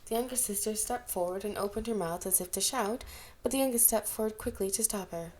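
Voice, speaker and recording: Woman, amateur audiobook narrator, mid-pitched voice, scripted fiction, expressive delivery. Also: fairly quick.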